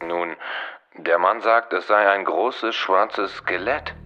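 Polite police sergeant, very low voice, formal, muffled on the phone, radio drama. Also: male voice, slow pace, bright, forceful tone, a little hiss or hum, heavily acted. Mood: polite, hesitant. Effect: telephone filter. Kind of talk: German audio drama dialogue. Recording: dull telephone line.

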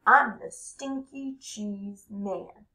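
A woman's voice: medium pitch, extremely slow, pushed and bright. A woman voicing a small, cocky runaway man made of cheese, taunting in a sing-song chant. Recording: echoey room.

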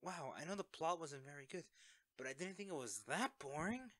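A man's voice: a little high, lively intonation, fairly quick, a bit bright, slight background noise. A man acting a young superhero in an audio roleplay, a sheepish, caring best friend who speaks conversationally and a little hesitantly. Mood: tender, quiet.

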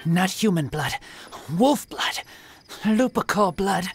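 A man playing a terse, earnest teenage boy raised by wolves in a fantasy video game, speaking in halting broken sentences. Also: sits high, lively intonation, soft background music, full character voice. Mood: grave, watchful.

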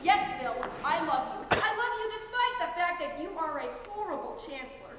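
An echoing, noisy hall recording of a stage comedy. A young woman plays a dry, exasperated wife with a very high voice, loving underneath her impatience. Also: big pitch swings, fairly quick. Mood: fed up. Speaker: stage actor. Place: theatre stage. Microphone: distant audience camera. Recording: echoey noisy theatre hall.

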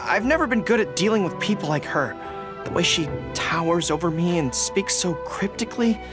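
A young male dog-eared general from a video game. His delivery swings widely in pitch, earnest and emphatic, quick to get flustered. Music plays clearly behind him. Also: animated. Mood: uneasy, earnest. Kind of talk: acted video game dialogue.

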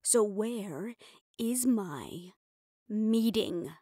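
A woman's voice: mid-range voice, expressive, extremely slow, warm tone, dry recording, clean studio sound. A woman playing a stressed, foul-mouthed, fiercely loyal mafia assistant in an audio roleplay, exasperated and clipped. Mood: angry, threatening. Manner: exasperated, clipped, heavy swearing, sighs. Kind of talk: dramatic audio roleplay, close solo performance.

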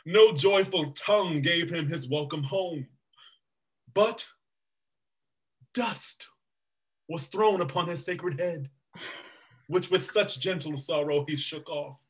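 A man playing a grave, loyal duke, telling the story in measured verse on a video call. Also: a little high. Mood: solemn. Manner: measured storytelling, bursting into alarm. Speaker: actor in a staged reading. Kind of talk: Shakespeare verse reading. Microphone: webcam on a video call.

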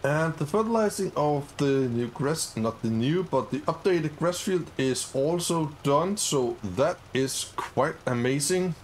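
Upbeat, slow-talking gamer man. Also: on the high side, a little hiss or hum. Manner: upbeat, easily excited, many fillers. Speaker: gaming channel host. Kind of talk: casual let's-play commentary.

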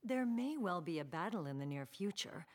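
A woman voicing a stern, commanding middle-aged village leader in an anime dub, authoritative and measured. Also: lowish voice, lively intonation. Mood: grave.

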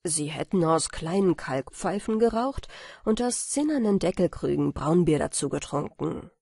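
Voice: mid-range voice, lively intonation, normal speed, gravelly, darkish tone, husky, no echo, clean studio sound. An audiobook narrator reading a fairy tale as a scripted bedtime story.

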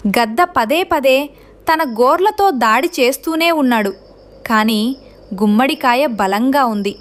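High-pitched woman narrating children's stories. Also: lively intonation, unhurried. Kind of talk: scripted children's story narration.

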